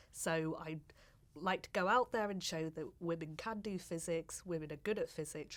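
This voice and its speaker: Slightly low-voiced woman. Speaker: astronomer and science communicator. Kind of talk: interview talk about own work.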